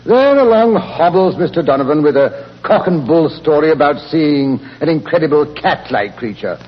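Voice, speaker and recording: Husky, blustering British gentleman sidekick. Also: fairly high pitch, animated, normal speed. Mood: eager theorizing. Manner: blustering, bumbling. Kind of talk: 1940s radio acting. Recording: muffled, reverberant 1940s radio recording.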